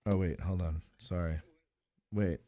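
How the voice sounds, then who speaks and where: A man's voice: sits low, steady tone, unhurried, dry recording, dull audio. An American man hosting a casual chat show, informal and rambling as he thinks aloud. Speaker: podcast host.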